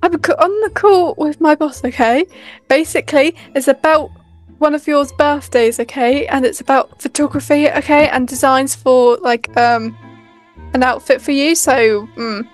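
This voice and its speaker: A woman's voice: high voice, normal speed, close to an everyday voice. A woman playing an evasive, busy mother in an improvised roleplay, with a hesitant, placating way of talking. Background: music.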